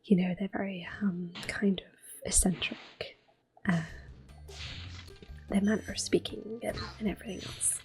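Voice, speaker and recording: A woman softly whispering gentle, unhurried ASMR commentary over a video game, with a breathy, hushed voice. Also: mid-range voice, steady tone. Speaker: gameplay host. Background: game music.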